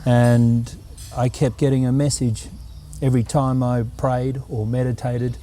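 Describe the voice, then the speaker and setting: Earnest middle-aged Australian man, dark voice. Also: medium pitch, flat delivery, faint music behind. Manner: earnest, reflective, unhurried. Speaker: guest. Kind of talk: interview answers.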